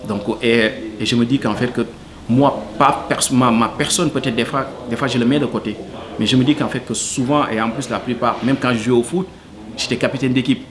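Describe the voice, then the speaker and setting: A man's voice: mid-range voice, steady tone, fairly quick, crowd noise. A man from Senegal, answering interview questions earnestly and hesitantly, full of fillers. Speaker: cook, interviewee.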